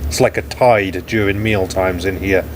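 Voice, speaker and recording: A man's voice: low voice, steady tone, moderate pace, noisy background. Welsh man, casual live commentary. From Wales. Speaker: scientist narrating a live dive broadcast. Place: ship control room.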